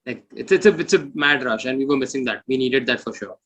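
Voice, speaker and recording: A man's voice: medium pitch, quick pace, a bit bright, dull audio. A man in a casual interview chat, speaking informally and repeating phrases for emphasis. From India. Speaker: metal musician, interview guest.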